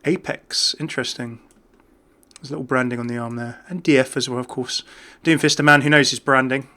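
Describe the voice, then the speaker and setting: A man with a bright, forceful voice at a middle pitch gives casual video game commentary. He is chatty and jokey, rambling along in an unscripted way. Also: steady tone, brisk. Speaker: gaming YouTuber.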